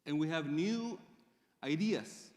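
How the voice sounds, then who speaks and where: A man from Chile with a Chilean Spanish accent, speaking English as a second language and giving a conference presentation with measured pauses and fillers. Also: on the high side, lively intonation, darkish tone. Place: large conference hall. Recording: reverberant conference hall.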